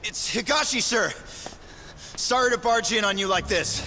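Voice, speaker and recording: High-pitched, frightened yakuza underling. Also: male voice, moderate pace, sharp tone, a little hiss or hum, full character voice. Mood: nervous. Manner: stammering, deferential, panicky. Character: frightened, pleading low-ranking yakuza underling, timid. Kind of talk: video game cutscene acting.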